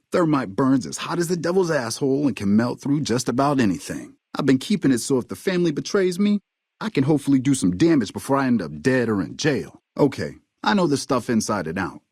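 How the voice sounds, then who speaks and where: A cocky but nervous hustler from a video game, foul-mouthed and full of slang. His mid-pitched voice has a raspy edge and swings widely up and down as he talks. The recording is close and dry. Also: sing-song, brisk, darkish tone, no echo. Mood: smug, confident. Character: fast-talking, foul-mouthed male hustler, nervous smuggler under blackmail. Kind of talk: video game dialogue acting.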